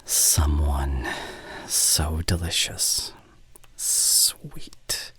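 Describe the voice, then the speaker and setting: Man acting very deep, breathy ancient vampire. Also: fairly even intonation, extremely slow, fairly bright tone, no echo, exaggerated voice. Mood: yearning, obsessed. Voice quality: breathy, half-whispered. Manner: formal, old-fashioned, hesitant phrasing. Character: ancient courtly male vampire, besotted, possessive, apologetic. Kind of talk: intimate ASMR roleplay monologue. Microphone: close studio condenser mic.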